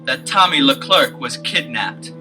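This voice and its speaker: An actor playing an earnest, clever teenage boy detective in a scripted audio adventure, explaining things in a deliberate, dramatic way. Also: medium pitch, animated, natural voice. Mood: pondering. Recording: 1970s LP radio-play with music behind.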